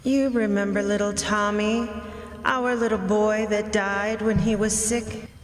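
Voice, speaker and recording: A woman acting the soft, consoling ghost of a murdered wife in a radio drama. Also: medium pitch, level tone, a little slow, close to an everyday voice. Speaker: radio play actor. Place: stage performance. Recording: stage mic with hall echo and noise.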